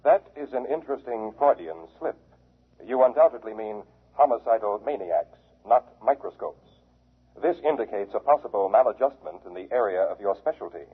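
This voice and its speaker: An actor playing a cold, pedantic robot testing machine in a 1950s radio drama, with formal, clinical, measured delivery. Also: male voice, lowish voice, expressive, slightly rough. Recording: muffled, phone-like old radio broadcast.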